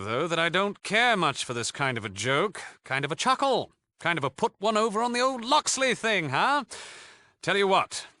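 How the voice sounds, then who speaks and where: A man's voice: high-pitched, sharp tone, no room sound, clean studio sound, full character voice. A man with a British accent playing a cocky, mock-polite thief gang leader in a video game, theatrical and sarcastic.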